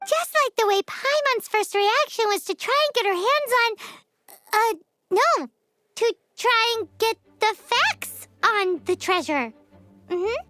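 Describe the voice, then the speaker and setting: A woman voicing a tiny floating fairy companion in a video game, childlike, cheeky and excitable. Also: slow, dry recording, soft background music, full character voice. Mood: excited, scheming. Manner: squeaky, refers to self in third person. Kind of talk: animated video game voice acting.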